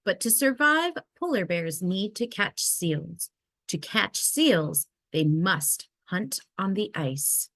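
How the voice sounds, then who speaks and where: Lady doing a kids nature book read aloud, lowish voice, really slow and expressive, dry close sound. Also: dry recording. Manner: measured, expressive storytelling delivery. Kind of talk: children's nonfiction picture-book read-aloud.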